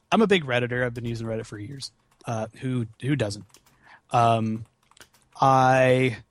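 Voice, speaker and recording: A man's voice: mid-range voice, no echo. Rambling, casually sweary man, close dry sound. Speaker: podcast guest, charity gaming marathon organizer. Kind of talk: casual chat.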